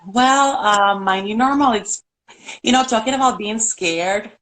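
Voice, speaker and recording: A woman's voice: on the high side, measured pace, fairly bright tone, low-quality recording. A middle-aged woman from Puerto Rico with a Puerto Rican Spanish accent, chatting earnestly as a caller over a video call. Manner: earnest, chatty, laughs often. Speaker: caller on a live stream. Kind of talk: casual video call chat.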